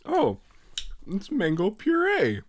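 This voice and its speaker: A man's voice: sits high, wildly swooping pitch, slow, background noise. A young man co-hosting a podcast, chatty and laughing often as he explains things. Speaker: podcast co-host. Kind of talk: casual chat.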